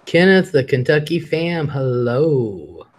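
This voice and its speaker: A man's voice: a little high, big pitch swings, slow. A man hosting a live call-in show, teasing and flirting with callers and laughing often. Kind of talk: casual flirty call-in chat.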